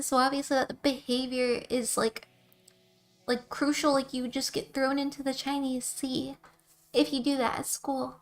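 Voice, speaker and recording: A young woman streamer with a soft, quiet voice, chatting casually with her viewers in a rambling, giggly way. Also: high-pitched.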